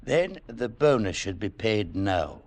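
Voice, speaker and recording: An actor playing a stern, haughty lord in a 1940s radio drama, formal, cautious and clipped. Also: male voice, fairly low pitch, sing-song, slow pace, heavily acted. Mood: businesslike, wary. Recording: 1940s radio broadcast recording.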